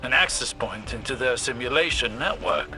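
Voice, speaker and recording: An actor playing a serious male mission guide in a video game, giving briefings in a measured, instructive way. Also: mid-range voice, lively intonation, natural voice. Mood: focused, serious. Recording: music under the voice.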